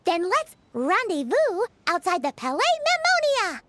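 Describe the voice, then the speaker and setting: Tiny excitable game fairy, squeaky, childlike, swooping melody, unhurried pace, exclaiming. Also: female voice, heavy background noise, heavily acted. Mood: excited. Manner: refers to self in third person, exclaiming. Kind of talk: anime-style game voice acting.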